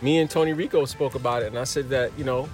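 A man's voice: medium pitch, steady tone, quick pace, soft background music. Earnest man thinking aloud. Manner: earnest, searching for words. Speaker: traveler in conversation. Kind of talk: casual reflective conversation.

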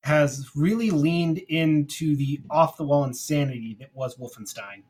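A man's voice: on the high side, measured pace, darkish tone, husky. A man chatting casually as a podcast co-host, giving his personal opinions. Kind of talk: casual chat.

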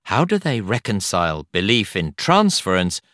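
A man reading a non-fiction audiobook in a measured, articulate and expressive way. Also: lowish voice, no echo, clean studio sound. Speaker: audiobook narrator. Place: studio.

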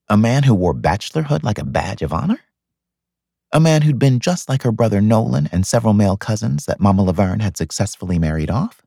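A man reading fiction as an audiobook narrator, in an expressive scripted style. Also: mid-range voice, gravelly, husky, dry recording, clean studio sound.

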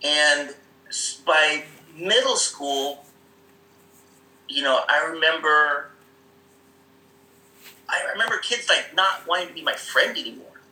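A man's voice: a little high, expressive, takes it slowly, long pauses, bright, forceful tone, reverberant, poor audio. A man casually telling stories about growing up, rambling with many fillers and frequent laughs. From Seattle area. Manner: rambling, many fillers, laughs often, acts out quoted voices. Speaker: guest. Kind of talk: casual conversational storytelling.

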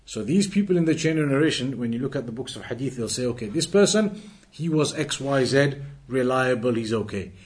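A man teaching a religious text lesson to a class, explaining each phrase, asking rhetorical questions, and reciting quoted Arabic quickly and fluently. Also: medium pitch, lively intonation. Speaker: Islamic teacher.

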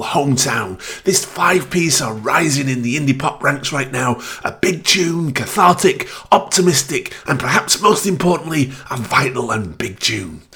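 A man's voice: medium pitch, fairly bright tone. A man presenting a music radio show in an upbeat style, introducing tracks over music. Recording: music playing behind the voice.

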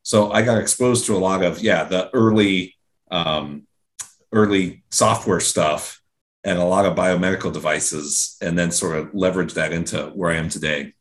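A man's voice: low-pitched, moderate pace. A man from Central Ohio, a guest in an interview, talking casually with many fillers and restarts. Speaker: guest, engineering director.

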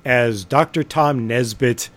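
Male co-host, mid pitch, dry and opinionated, conversational. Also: slow, fairly bright tone. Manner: wry, opinionated and dryly humorous. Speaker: podcast co-host. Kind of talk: casual podcast chat.

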